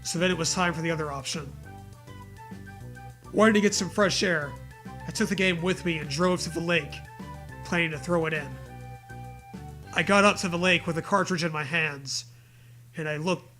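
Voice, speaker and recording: A man's voice: on the high side, moderate pace, pauses often, bright, forceful tone, soft background music. A man reading a story aloud in a comedic way, with mocking, profane casual riffing.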